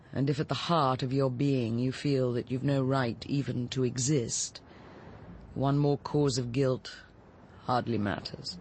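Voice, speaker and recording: Coolly controlled middle-aged English murderess. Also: female voice, very deep voice, darkish tone, a little hiss or hum. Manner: articulate, controlled, unsentimental. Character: cool, resolute middle-aged woman confessing to murder. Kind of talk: BBC radio drama acting.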